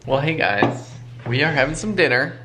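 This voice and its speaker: A man's voice: a little high, moderate pace, noisy background. A man in his thirties, a vlog co-host, chatting casually and a bit ramblingly at his kitchen table. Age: 30s. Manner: relaxed, rambling, restarts his sentences. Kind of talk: casual chat.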